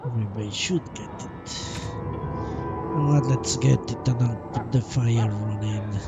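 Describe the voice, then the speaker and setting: A man's voice: mid-range voice, steady tone, slow pace, recorded from a distance, muffled recording. A man doing casual live gaming commentary, muttering to himself as he thinks aloud. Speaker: game streamer. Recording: loud game music behind the voice. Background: loud video game music.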